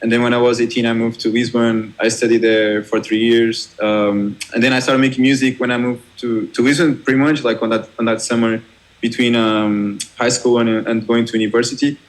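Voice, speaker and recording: A man's voice: mid-range voice, flat delivery, rough recording. A young Portuguese man with a Portuguese accent, a DJ guest talking casually in an interview, restarting his sentences and laughing easily. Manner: halting, many restarts and fillers, laughs easily. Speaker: guest DJ and music producer.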